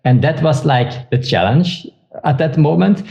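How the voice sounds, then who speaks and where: A man from Belgium with a Belgian Dutch accent, a podcast guest talking casually in halting sentences with many restarts. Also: on the high side, expressive, creaky, dark tone, lo-fi sound. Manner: halting, with frequent restarts and fillers. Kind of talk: casual interview talk.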